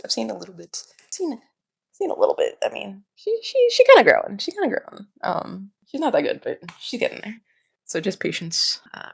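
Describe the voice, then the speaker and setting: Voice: mid-range voice, gravelly, husky, dry recording, clean studio sound. A young adult vlogger with a slightly nasal voice, rambling candidly and informally and often repeating and restarting phrases. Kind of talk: casual rambling personal vlog.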